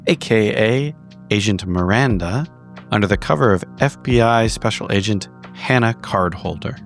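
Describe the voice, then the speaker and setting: A man reading a scripted horror game recap in a formal, measured storytelling manner. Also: lowish voice, faint music behind. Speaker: player giving the story recap.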